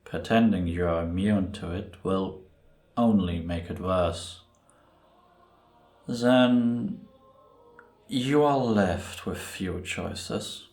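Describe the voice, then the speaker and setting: A man playing a grave, brooding priest who tells a dark tale in a solemn, ominous, deliberate way for a cinematic audio drama. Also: low-pitched, heavily acted. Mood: grave, probing. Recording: roomy echo on the voice.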